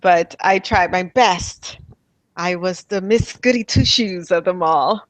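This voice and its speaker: A woman from Miami tells her life story in an interview, taking her time with each thought. Her voice sits a little low, and she pauses often and laughs lightly between thoughts. The sound is dry and close, with no room around it. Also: dry recording. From Miami, USA. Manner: soft-spoken, thoughtful, with pauses and fillers. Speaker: interviewee, spiritual healer. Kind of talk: reflective personal interview.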